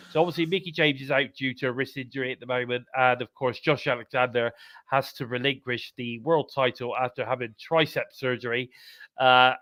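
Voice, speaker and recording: British male podcast host, stuffed-up nose, bright upbeat voice, dry close mic. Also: medium pitch, no room sound. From United Kingdom. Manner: chatty, upbeat, repeats calls to subscribe. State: congested, bunged up. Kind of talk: live wrestling podcast chat.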